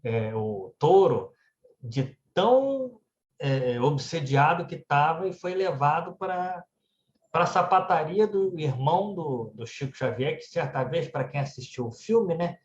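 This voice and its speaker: Man, Brazilian Portuguese accent, slightly high voice, conversational religious storytelling, dull lo-fi recording. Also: animated, measured pace, a little hiss or hum. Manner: conversational storytelling, frequently adding "né" tags. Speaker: speaker at a spiritist centre. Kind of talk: religious talk told as anecdotes. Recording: echoey room, dull muffled sound.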